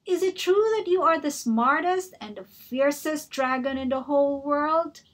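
A woman's voice: sits high, a little slow, pitched above the performer's own voice. A woman voicing a clever, spirited young princess in a storybook reading, eager and exclamatory. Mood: flattering.

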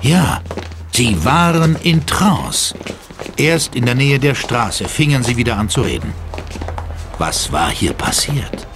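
Male narrator for horror radio play: suspenseful, highly animated delivery, music underneath. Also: takes it slowly. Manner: suspenseful, theatrical. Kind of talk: dramatic scripted narration of a horror audio drama.

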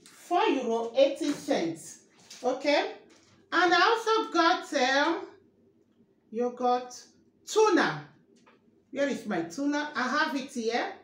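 A woman in her thirties speaks slowly in a high voice, going through her groceries item by item. She repeats each price and keeps asking viewers whether they can see what she holds up. The kitchen sounds roomy and echoey, with a faint hiss underneath. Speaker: vlogger. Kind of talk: grocery haul show-and-tell. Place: kitchen, indoors. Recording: echoey room with faint noise.

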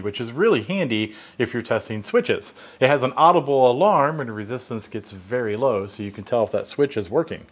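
A man from the United States with an American accent gives a conversational, explanatory hands-on tool review in a workshop. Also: medium pitch. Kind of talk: hands-on product review and demonstration. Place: home workshop at a workbench.

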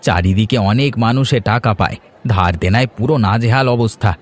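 A man's voice: lowish voice, a little fast, lightly acted. A man voicing a destitute, despairing village neighbour in a cartoon, with a lamenting manner. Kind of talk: cartoon drama dialogue.

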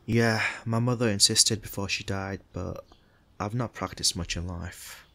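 A man's voice: lowish voice, a little slow, sharp tone. A man acting a polite, probing detective in an audio drama. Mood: reserved. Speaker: actor in a podcast drama.

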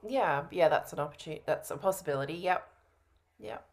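Woman, thirties, low pitch, casual conversational replies, sales roleplay prospect, video call from home. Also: normal speed. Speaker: coaching trainee playing a sales prospect.

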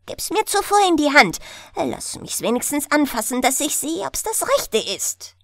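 A woman's voice: high-pitched, big pitch swings, moderate pace, vocal fry, a bit bright, dry recording, studio quality, pitched above the performer's own voice, full character voice. A woman voicing a sly, greedy, spiteful old forest witch in a storybook, coaxing and cackling. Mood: greedy wheedling. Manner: cackling, murmuring, coaxing in whispers. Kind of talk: storybook character voice.

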